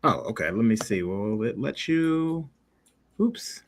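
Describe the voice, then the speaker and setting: A man's voice: fairly low pitch, animated, slow pace, a little hiss or hum. A man hosting a casual livestream interview, talking in a rambling, encouraging way and often searching for words. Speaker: livestream show host.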